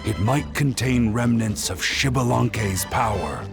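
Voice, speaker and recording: An excitable young scholar who gets carried away with his ideas. His voice rises and falls animatedly with a slight rasp. Soft game music runs underneath. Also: mid-range voice, unhurried. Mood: earnest. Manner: earnest, excitable explaining. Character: earnest young male scholar, eager and anxious.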